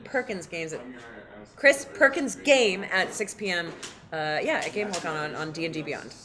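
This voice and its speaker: A woman's voice: on the low side, normal speed. A woman talking casually as a guest player at a live tabletop game. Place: busy gaming convention, live event. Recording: live convention hall with crowd noise.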